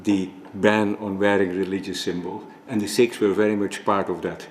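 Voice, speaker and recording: Man from the Netherlands presenting at a conference, measured delivery with restarts; roomy hall sound. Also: lowish voice, fairly even intonation, a little hiss or hum. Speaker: conference speaker. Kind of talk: formal presentation. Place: conference room. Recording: echoey hall with faint ambience.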